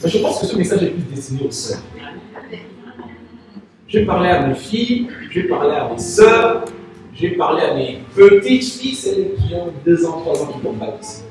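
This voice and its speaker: Booming pastor in an echoey hall. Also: male voice, very animated, normal speed, music in the background. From Congo. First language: Lingala. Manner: call-and-response preaching, swinging between loud and soft. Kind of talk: sermon. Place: large church hall. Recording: echoey church hall from far off, faint noise.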